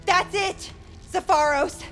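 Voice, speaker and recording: A woman's voice: very high voice, slow, a bit bright, soft background music, full character voice. A woman playing an earnest, exasperated teenage girl leading monster fighters in an audio drama, urgent and emphatic. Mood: fed up. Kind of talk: audio fiction acting.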